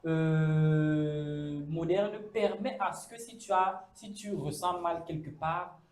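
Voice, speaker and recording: A high-pitched man talks through an idea on a campus talk show. He keeps the tone mellow and stops often on fillers. The room around him rings with echo. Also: slow pace. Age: 30s. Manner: hesitant, many fillers, acts out quoted speech. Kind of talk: casual debate on a campus show. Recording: echoey room.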